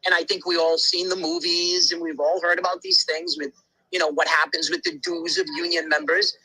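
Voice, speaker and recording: A man's voice: a little high, steady tone, fairly quick, bright, forceful tone, breathy edge, rough recording. A man talking in a casual podcast conversation, telling things bluntly and emphatically, with many asides and restarts. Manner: blunt, occasional swearing.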